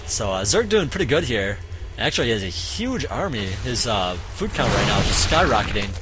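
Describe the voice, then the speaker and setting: A man casually commentating a strategy game match, halting with many fillers, his voice going hoarse. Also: mid-range voice, big pitch swings, loud music behind the voice. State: voice getting hoarse. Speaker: game caster.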